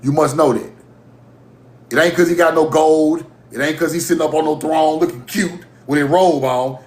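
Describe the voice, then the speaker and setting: Man, high voice, livestream rant, profane, echoey room. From Mississippi. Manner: animated, profane, laughing rants. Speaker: livestream host. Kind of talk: heated livestream monologue.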